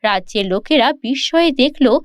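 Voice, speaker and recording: High-pitched woman narrating a children's fairy tale. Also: lively intonation, normal speed, no echo, clean studio sound. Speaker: storyteller narrator.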